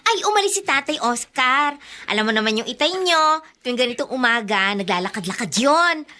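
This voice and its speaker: A woman's voice: high-pitched, expressive, brisk, bright, forceful tone. An actress playing a chatty young female household helper in a Filipino radio drama, with an exclaiming, gossipy manner. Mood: chirpy.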